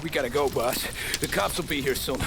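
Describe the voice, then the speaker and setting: A man's voice: fairly high pitch, a little fast, creaky, background noise. An actor in a video game playing a loyal, tough young mafia henchman, deferential to his boss. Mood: tense, protective.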